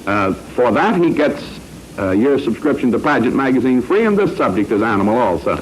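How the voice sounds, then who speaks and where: A male American quiz host from old-time radio, with lively, swooping intonation. He is wry and teasing as he talks to the contestants. The recording is vintage and clearly noisy. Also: mid-range voice, a little fast.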